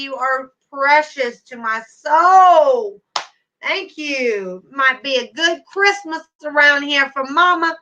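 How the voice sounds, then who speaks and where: Bright, high-voiced middle-aged woman. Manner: chatty, addresses viewers by name with endearments. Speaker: YouTube livestream host. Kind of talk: casual livestream chat replying to viewers.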